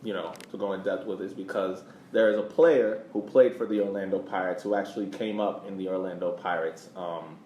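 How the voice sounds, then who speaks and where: A man's voice: sits low, fairly even intonation, a little fast, hardly any pauses, warm tone. A man co-hosting a podcast, talking casually about sports. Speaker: podcast host. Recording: roomy echo, background noise.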